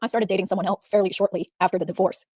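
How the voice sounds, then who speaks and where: A woman voices a wary female witness under deposition. Her tone is dark and mellow, and her replies come quickly but measured. The recording is dry and close. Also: medium pitch, steady tone, breathy edge, dry recording, lightly acted. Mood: guarded. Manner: guarded, measured answers. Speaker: reader in a deposition reenactment. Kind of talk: read-aloud legal reenactment.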